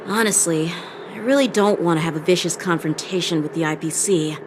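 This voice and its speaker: A woman voicing a polite, reluctant official in a video game, speaking in a measured way. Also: lowish voice, background noise, natural voice. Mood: worried, hopeful. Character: polite, reluctant female official seeking help.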